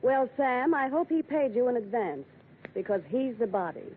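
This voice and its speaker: Actress playing a cool, sharp-tongued noir wife. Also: female voice, fairly high pitch, expressive, normal speed, natural voice. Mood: dry, cool. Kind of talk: old-time radio detective drama acting. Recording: tinny, faintly noisy vintage radio.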